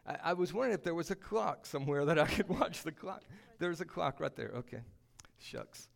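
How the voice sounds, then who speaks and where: A man speaking as a guest missionary at church, greeting the congregation in a halting way with many uhs and repeated words. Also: a little high, expressive, gravelly.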